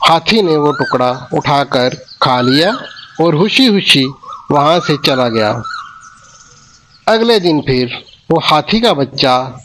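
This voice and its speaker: A man's voice: fairly high pitch, slight background noise, poor audio. A man narrating a moral cartoon story for children, speaking to them directly. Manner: slow, expressive, addresses children.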